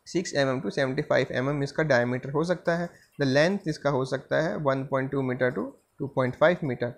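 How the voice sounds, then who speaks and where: Male engineering lecturer, fairly high voice, measured unhurried delivery. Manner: slow reading of slide text, with frequent "theek hai" fillers. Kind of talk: slide lecture, reading English lines then explaining in Hindi. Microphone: voice-over on screen-recorded slides.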